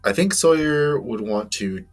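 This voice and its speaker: A man's voice: mid-range voice, animated, unhurried. A man playing in a tabletop role-playing game, speaking in a laid-back way and searching for words. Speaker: player. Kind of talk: casual tabletop game chat.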